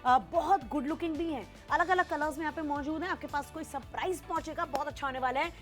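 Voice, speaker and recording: High-voiced woman pitching on home shopping. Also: quick pace, fairly bright tone, soft background music. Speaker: TV shopping presenter. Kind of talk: chatty sales pitch mixing Hindi and English.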